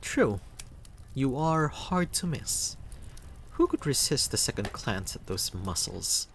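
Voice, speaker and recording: A man's voice: medium pitch, very animated, slow pace, vocal fry, a bit bright, a little hiss or hum, pitched above the performer's own voice, heavily acted. A man playing a cheeky, chatty young traveler in a story game, talking in a playful, teasing, casual way.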